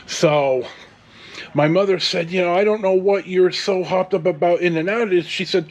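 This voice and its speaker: A man's voice: high voice, moderate pace. A man in his sixties with a Californian American accent, telling a casual, meandering story as host, with digressions and mild swearing. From California, United States. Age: 60s. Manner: meandering, digressive, mildly profane.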